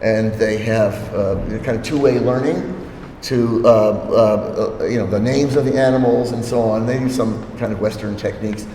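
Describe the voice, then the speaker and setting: An older man, an anthropologist, explains things to an audience at a gallery talk. He often pauses and says um. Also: lowish voice, fairly even intonation, warm tone. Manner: hesitant, many ums, thoughtful. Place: gallery hall. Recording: echoey gallery hall, faint noise.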